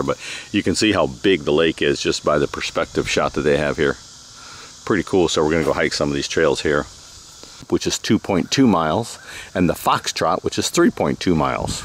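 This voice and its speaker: A man's voice: a bass voice, a little hiss or hum. A man chatting casually as a travel vlogger behind the camera, with a jokey, teasing manner. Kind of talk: casual vlog chat. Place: outdoors at a winery patio and park trail. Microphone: handheld camera mic.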